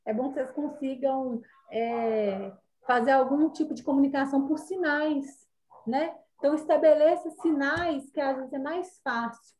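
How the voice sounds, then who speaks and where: A Brazilian woman teaches an online class in a high, mellow voice. She explains chattily with many fillers, heard over a video call mic. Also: normal speed, a little hiss or hum, rough recording.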